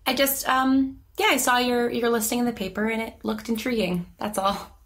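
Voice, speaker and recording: A woman's voice: on the high side, fairly even intonation, moderate pace, a bit bright. A woman playing a nervous young therapy patient in an audio drama, rambling and hesitant in a naturalistic style. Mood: evasive, nervous. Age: young adult. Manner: hesitant, stammering, self-conscious rambling.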